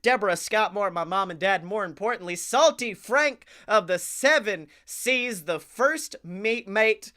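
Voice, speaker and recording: Bright-voiced man bantering on a podcast. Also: high-pitched, a little slow, few pauses. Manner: profane, jokey, animated plugging. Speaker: comedy podcast co-host. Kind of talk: casual comedic podcast banter.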